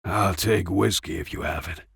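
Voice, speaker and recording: A man voicing a weary, gruff, stubborn male soldier in an audiobook story. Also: sits low, moderate pace, a bit bright, no room sound, clean studio sound, exaggerated voice. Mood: dry, weary.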